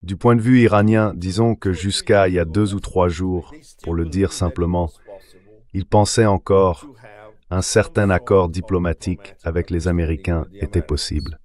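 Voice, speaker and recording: A low male voice, synthetic in character, reads translated political commentary. It sounds like an AI dub of an interview guest. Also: steady tone, moderate pace, darkish tone. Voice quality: synthetic AI dubbing voice.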